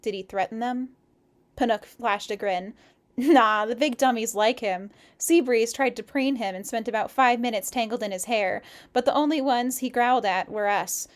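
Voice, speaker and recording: A woman reading a story aloud expressively, in the relaxed, chatty way of a casual co-host. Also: a little high, moderate pace. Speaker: co-host of a fan fiction read-aloud stream.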